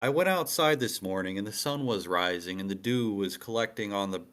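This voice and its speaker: Male, fairly low register. Casual, emphatic writer giving feedback in an online group. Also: a little fast, fairly bright tone. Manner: emphatic, explains at length with repetition. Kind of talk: casual group discussion of scriptwriting. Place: online meeting room.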